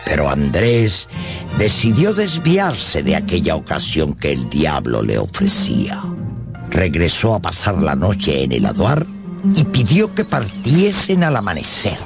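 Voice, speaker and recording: A man's voice: low-pitched, huge pitch swings, a little slow, creaky. A man narrating a radio drama as a theatrical storyteller who addresses his listeners conversationally. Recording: music under the voice.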